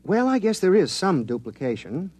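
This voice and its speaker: An actor playing an unassuming military radio decoder who explains technical work plainly, in a 1950s radio drama style. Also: male voice, high-pitched, very animated, moderate pace, a little mellow, husky, full character voice. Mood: explaining. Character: matter-of-fact male military radio decoder, technically minded. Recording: vintage 1950s radio broadcast.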